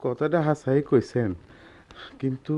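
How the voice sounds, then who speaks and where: An actor playing a humble, worried middle-aged goldsmith with a rural Bangladeshi accent, speaking hesitantly and deferentially in a radio play. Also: male voice, medium pitch, fairly even intonation, unhurried, dark tone, lightly acted. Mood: worried, pleading. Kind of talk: Bengali radio play acting.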